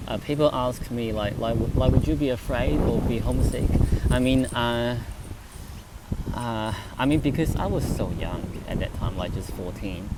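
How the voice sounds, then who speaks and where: A young man from China, a native Chinese speaker, telling a personal story to camera outdoors, halting and full of fillers and restarts. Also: medium pitch, heavy background noise. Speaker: vlogger. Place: outdoors near traffic, turkey nearby.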